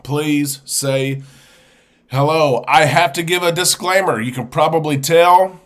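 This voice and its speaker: A man's voice: fairly high pitch, a little slow, a bit bright. A man hosting a live show, chatting casually and greeting viewers one by one, congested and sniffly from a chest cold. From United States. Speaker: host.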